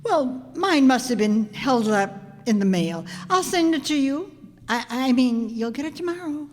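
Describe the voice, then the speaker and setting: A wry, wisecracking schoolteacher played by a woman speaks in a high voice from a reverberant hall. She is scheming and lovestruck, with a dry delivery out of old-time radio comedy. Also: animated, measured pace, a little mellow. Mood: flustered. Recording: echoey hall, long reverb.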